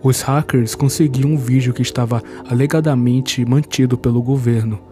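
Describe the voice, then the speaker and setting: A man's voice: medium pitch, steady tone, moderate pace, dark tone, faint music behind. A man with a Brazilian Portuguese accent giving a scripted narration that recaps a horror series.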